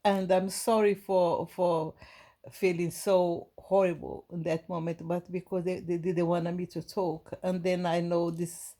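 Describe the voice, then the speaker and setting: A woman from Brazil with a Brazilian Portuguese accent, giving a gentle, halting inspirational talk to her viewers with many restarts. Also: lowish voice, husky. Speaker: spiritual vlogger.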